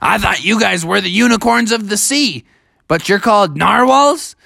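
A storyteller playing an excitable, animated storybook adventurer. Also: medium pitch, normal speed, creaky, no echo, pitched above the performer's own voice, full character voice. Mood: delighted. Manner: exclaiming, dramatic wonder. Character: excitable, wonder-struck male adventurer in a storybook.

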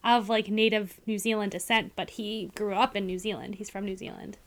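A woman's voice: mid-range voice, steady tone, a little fast. A woman co-hosting a movie podcast, talking casually with lots of "like". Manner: conversational, uses "like" often, sure of herself. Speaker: podcast co-host.

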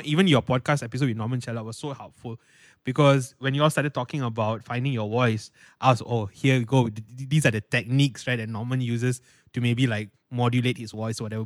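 A man with a baritone voice hosting a podcast, chatting casually in a playful, self-deprecating way. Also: dry recording, clean studio sound. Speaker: podcast host.

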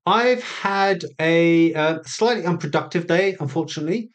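A man's voice: a little high, moderate pace. A man speaking as an interview guest in casual conversation, with a hesitant, mildly wry manner.

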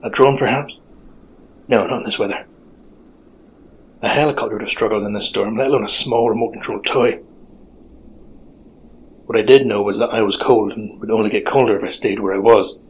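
A man playing a gruff, weary old detective with a deadpan, sardonic manner, narrating a noir audio drama. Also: fairly low pitch, brisk, pauses often, a little hiss or hum, close to an everyday voice. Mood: brooding.

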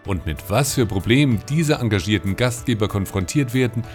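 Tiefe Männerstimme eines Podcast-Moderators. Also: male voice, fairly low pitch, lively intonation, brisk, soft background music. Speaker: podcast host. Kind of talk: scripted interview podcast. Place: audio studio.

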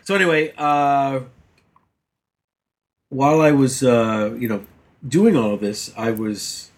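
A man's voice: mid-range voice, slow pace. A man co-hosting a casual gaming podcast, rambling through his week with many fillers and restarts and teasing the others. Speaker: podcast co-host.

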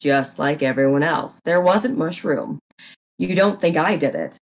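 Woman putting on a low-pitched, dry, flippant young man. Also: a little slow. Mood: dry, defensive. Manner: dry, offhand, evasive. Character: flippant mustachioed nephew, a defensive murder suspect.

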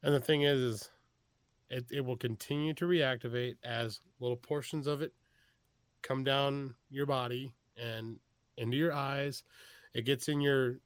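A man's voice: medium pitch, steady tone, measured pace, clear, resonant tone, no echo. A man with a Texas accent, chatting casually as a podcast guest, often restarting his sentences. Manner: rambling, frequent restarts, chuckles. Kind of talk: casual chat.

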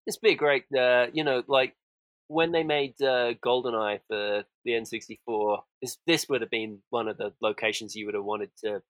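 A man's voice: medium pitch, steady tone, normal speed, a bit bright, no echo. A man chatting casually about a film in an easygoing, rambling way. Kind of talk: casual film commentary chat.

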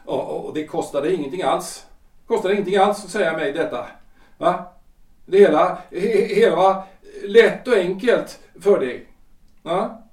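A roomy recording, a little off the mic, of an actor as a troubled middle-aged husband in a period radio play. His fairly high male voice speaks formal haltingly, often repeating words. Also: darkish tone, recorded from a distance, close to an everyday voice. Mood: indignant, sarcastic. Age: 40s. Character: wounded, agitated husband, lawyer and politician of forty-three. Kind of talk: period stage-play audio drama acting.

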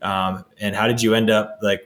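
A man's voice: lowish voice, flat delivery, normal speed, a bit bright. A man hosting a podcast, friendly and upbeat, introducing and questioning his guest with a few uhs and ums. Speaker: podcast host.

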